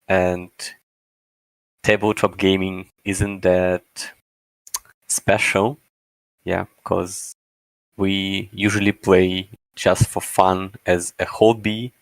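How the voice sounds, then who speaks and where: A man's voice: low-pitched, flat delivery, very slow, creaky, pauses often, no echo, poor audio. A man in his twenties from Russia, speaking English as an interview guest, hesitant and searching for words. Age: 20s. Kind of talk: casual interview answers.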